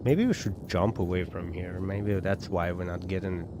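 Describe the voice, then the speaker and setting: An Armenian-accented man with a fairly low voice streams a game and chats loosely with his audience, circling back over his words as he thinks. His voice sits over clear game noise. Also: steady tone, normal speed. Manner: rambling, repeats words, talks to chat. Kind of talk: casual live-stream chat while gaming. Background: game sounds.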